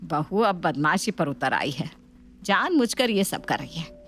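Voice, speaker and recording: A woman's voice: low-pitched, sing-song, measured pace, soft background music, voice pushed down, full character voice. A woman voicing a shrewd older mother-in-law in a family story, scolding and mock-sweet. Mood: stern, scheming.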